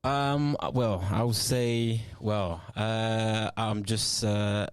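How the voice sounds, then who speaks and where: A man talks in a low voice, picking his words slowly with lots of "um" and "you know what I mean." The sound is close and dry, with no room around it. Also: steady tone, smooth, no echo. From Nigeria. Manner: hesitant, many fillers and restarts. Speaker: musician guest. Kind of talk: casual interview.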